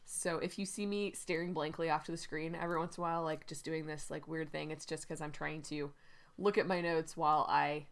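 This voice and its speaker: Stream host, woman, lowish voice, hoarse with a head cold, rambling and chatty, casual desk-mic sound. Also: steady tone, brisk. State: stuffy, runny nose. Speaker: host of a writing livestream. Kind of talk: casual live-stream talk.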